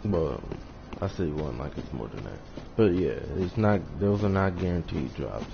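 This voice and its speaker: A man with a very deep, raspy, fry-laden voice talks loosely through a game stream, hoarse and rambling, letting sentences fade out. The audio is dull and lo-fi, with heavy noise sitting under him. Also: lively intonation, normal speed. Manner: rambling, offhand, trails off quietly. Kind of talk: casual live-stream commentary.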